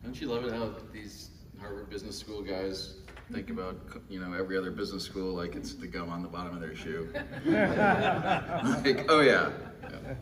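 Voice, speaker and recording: A man's voice: deep voice, normal speed, distant mic, a crowd in the background. A middle-aged man from Texas giving a wry, self-deprecating conference keynote, full of fillers. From Texas, USA. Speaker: keynote speaker at a pension conference. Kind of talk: live conference speech. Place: large windowless conference room. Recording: conference room, speaker away from the mic, faint room noise.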